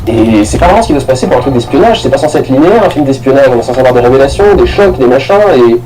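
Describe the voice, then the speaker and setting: A man's voice: medium pitch, expressive, rapid-fire, a little mellow, low-quality recording. A man giving a casual, rambling film review, full of restarts and slang.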